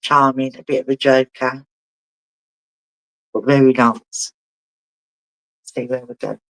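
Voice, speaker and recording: A man working as a spiritual medium, giving a live reading in a halting way and often asking the sitter whether it makes sense. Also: medium pitch, fairly even intonation, no echo, rough recording. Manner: halting, relays messages and keeps asking the sitter to confirm.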